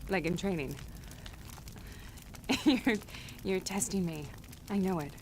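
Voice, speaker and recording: A woman's voice: mid-range voice, animated, slow, a little hiss or hum, poor audio. A woman playing a distressed, wary character under strain in a video game cutscene. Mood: shaken, defiant. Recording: music behind the voice.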